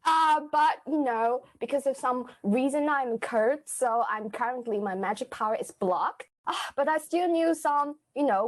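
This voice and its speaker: A woman improvising an earnest former royal maid who is secretly a witch, speaking with halting phrasing. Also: on the high side. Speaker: improv player. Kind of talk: improvised comedy scene.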